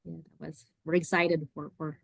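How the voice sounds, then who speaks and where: A woman chimes in on a video call with brief remarks. Her voice is on the low side and the sound is dry, and she halts and restarts often. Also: level tone, gravelly, dry recording. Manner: halting, many restarts.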